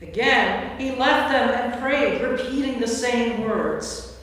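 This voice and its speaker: A woman's voice: a little high, slow pace, fairly bright tone. Echoing woman narrating scripture. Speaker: narrator, priest. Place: church, recorded from a distance. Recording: very echoey church, distant mic, faint noise.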